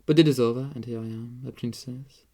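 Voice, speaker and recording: A man's voice: medium pitch, fairly even intonation, brisk, mellow, lightly acted. Casting: male reader as elderly down-at-heel princess, self-pitying, sing-song, unpleasant, for audiobook. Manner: sing-song, theatrical lamenting. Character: disagreeable, self-pitying impoverished old princess. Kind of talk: audiobook character voice.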